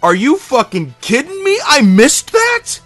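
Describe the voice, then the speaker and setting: Male storyteller as exasperated grandson demanding answers, raised pitch, loud exclamations, comic anecdote with music. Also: huge pitch swings, unhurried, full character voice. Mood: incredulous outrage. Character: baffled, outraged younger self, grandson in anecdote.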